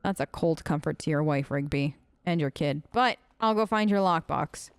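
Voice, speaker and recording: A woman's voice: deep voice, moderate pace, clean tone, no room sound. A woman giving casual, chatty gameplay commentary, often talking to herself and to the characters as she plays. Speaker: let's play host.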